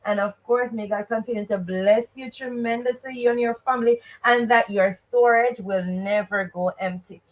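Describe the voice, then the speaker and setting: High-voiced Jamaican woman teaching live. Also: moderate pace, few pauses, rough recording. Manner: expressive, emphatic, repeats rhetorical questions and stock phrases. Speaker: teacher and host of a live read-aloud show. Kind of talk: interactive live lesson, questioning listeners.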